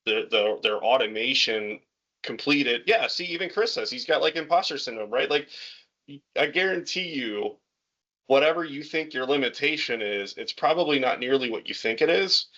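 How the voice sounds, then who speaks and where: Bright, encouraging man on a call. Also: mid-range voice, lively intonation, moderate pace. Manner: encouraging, conversational, repeats words. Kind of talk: casual video call chat. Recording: muffled call audio.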